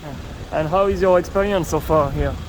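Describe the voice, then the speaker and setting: A man's voice: fairly high pitch, moderate pace. A man interviewing a passerby, asking curious questions with many fillers. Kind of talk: street interview. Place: city street outdoors with traffic. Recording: loud street noise.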